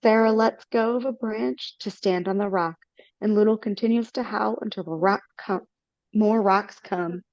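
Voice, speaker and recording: A woman's voice: mid-range voice, moderate pace, darkish tone, dry recording. Woman casually reading stage directions. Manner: casual, with slips and self-corrections. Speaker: narrator. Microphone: video call. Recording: dull lo-fi audio.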